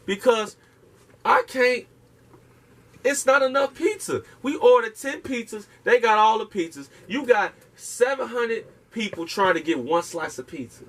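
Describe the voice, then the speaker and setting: A man's voice: extremely high pitch, expressive, measured pace, fairly bright tone. A man speaking, lecturing emphatically and profanely as an interview guest, firing off rhetorical questions. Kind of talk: impassioned casual interview talk.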